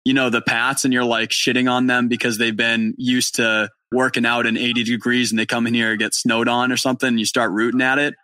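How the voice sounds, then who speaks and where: A young man from New England, hosting a casual podcast interview, excitable and swearing freely. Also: medium pitch, brisk, hardly any pauses, dry recording. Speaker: podcast host. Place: barn studio in rural Maine.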